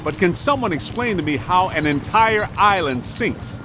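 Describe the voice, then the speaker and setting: An actor playing a practical, skeptical ghost hunter in a video game, talking in a plain, colloquial way. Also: male voice, high-pitched, expressive, moderate pace, noisy background. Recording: music behind voice.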